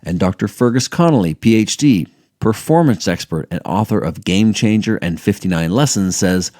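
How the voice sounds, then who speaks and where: Deep warm announcer guy reading a book ad, smooth and measured, dry studio sound. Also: expressive, dry recording. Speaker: ad narrator. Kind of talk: scripted promotional read.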